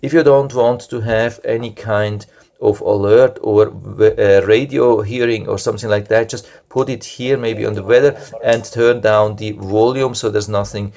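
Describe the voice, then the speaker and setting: A man with a fairly low voice talks through a product review without a script. He explains how things work in plain, casual words, circles back to his main points and is frank and critical about shortcomings. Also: fairly even intonation, moderate pace, darkish tone.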